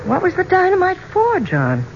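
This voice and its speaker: An actress playing an inquisitive, polite and poised woman visitor, expressive and curious, in a 1970s radio drama. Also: very high-pitched, moderate pace, exaggerated voice. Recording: muffled, hissy 1970s radio recording.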